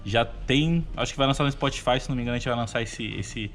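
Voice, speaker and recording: A Brazilian man gives casual promotional talk with a playful, teasing manner. His pitch is medium. Faint music plays in the background. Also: quick pace. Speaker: radio drama cast member. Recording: music behind the voice.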